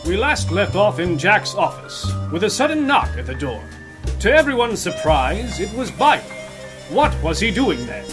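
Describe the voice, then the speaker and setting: A man playing the dramatic, suspenseful narrator of a noir detective radio serial. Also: high-pitched. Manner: suspenseful, theatrical. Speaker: announcer.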